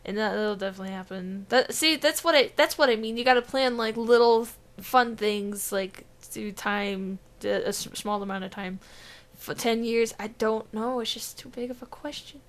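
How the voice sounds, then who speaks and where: Young female podcast co-host, slightly high voice, giggly and profane, rambling. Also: moderate pace. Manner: giggly, swears freely, trails off hunting for words. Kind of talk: casual podcast chat.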